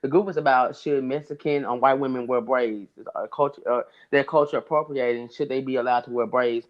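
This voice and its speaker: A man with a high voice, co-hosting a podcast and telling a long story in casual chat, with many restarts. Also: fairly even intonation, brisk, highs cut off. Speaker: podcast co-host.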